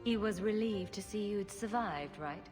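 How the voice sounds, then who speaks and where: A woman's voice: mid-range voice, unhurried, over music, natural voice. An actress playing a wry, loyal woman adventurer with a dry, sardonic way of talking in a fantasy video game. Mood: curious. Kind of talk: cinematic game dialogue acting.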